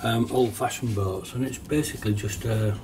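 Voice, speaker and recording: A middle-aged man with a cold, sounding nasal, casually talking through a watercolour painting demonstration at his desk, relaxed and chatty with small laughs. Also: mid-range voice, moderate pace, distant mic, noisy background. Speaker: watercolour teacher. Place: painting desk at home, indoors.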